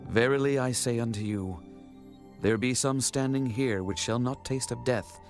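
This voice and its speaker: Messianic teacher played by a man: medium-pitched, solemn, commanding, soft music beneath archaic scripture lines. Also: moderate pace, lightly acted. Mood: grave teaching. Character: Jesus, authoritative adult male teacher and messiah. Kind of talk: dramatized scripture acting, archaic English.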